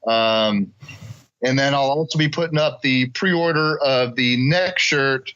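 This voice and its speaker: A man's voice: mid-range voice, a little slow, fairly bright tone, clear, resonant tone, no room sound. A man from Missouri, a podcast guest, chatting loosely about his products with casual swearing. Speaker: guest, business owner. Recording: dull dry lo-fi sound.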